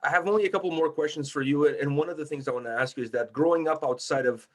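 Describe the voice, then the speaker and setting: A man's voice: fairly high pitch, fast. A middle-aged man from Portugal speaking conversationally as the host of an interview.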